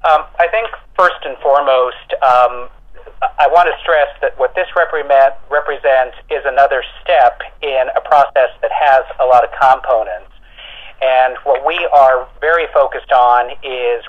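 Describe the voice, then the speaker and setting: A man speaking as an official, explaining policy to reporters in a deliberate, formal way, point by point. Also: medium pitch, steady tone. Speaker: government official at a press conference call. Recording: narrow, tinny telephone conference line.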